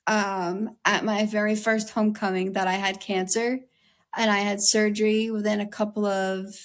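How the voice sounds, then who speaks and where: A young woman from the United States talks with an interviewer in an earnest, open way. Her voice sits at an ordinary middle pitch with a slight raspy edge. She leans on "like" and "um" as she works through her thoughts. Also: level tone, moderate pace. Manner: earnest, heavy use of "like" and "um". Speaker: interview guest. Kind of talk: candid conversational interview.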